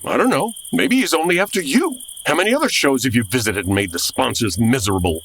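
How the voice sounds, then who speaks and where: A woman's voice: extremely low pitch, animated, quick pace, slightly rough, full character voice. A woman playing an exasperated, fussy radio sponsor man, dry and indignant, in a comedy audio drama.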